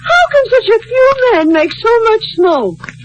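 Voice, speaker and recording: A fluttery society matron from a fifties radio comedy. She speaks high and slowly, stretching words theatrically, agreeable to a fault. The old recording is muffled. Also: big pitch swings, a loud crowd, exaggerated voice. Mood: confiding, dismayed. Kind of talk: 1950s radio sitcom acting.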